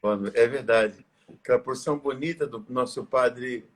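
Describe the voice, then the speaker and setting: Hoarse, tired priest, husky. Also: male voice, medium pitch. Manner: reverent, measured preaching with slow prayers. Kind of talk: live religious talk and prayer.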